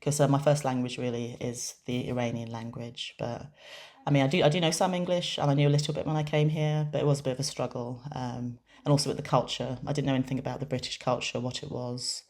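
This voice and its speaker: A woman with a strikingly deep voice gives interpreted interview answers in the first person. She speaks in a measured way, pausing often and restarting her phrases. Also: flat delivery, darkish tone. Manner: measured, with frequent pauses and restarts.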